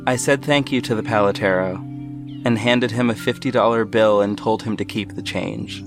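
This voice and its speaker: Reflective man narrating over clear music. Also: fairly low pitch, fairly even intonation, normal speed. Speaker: narrator and lead character of an audio drama. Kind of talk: first-person scripted narration, reflective storytelling. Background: music under the voice.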